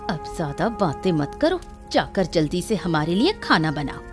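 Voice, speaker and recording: A woman's voice: fairly low pitch, big pitch swings, normal speed, over music. A woman voicing a cruel, bossy young daughter-in-law with a scornful, scolding manner in an acted story. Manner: scolding, taunting. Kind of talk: Hindi audio story acting.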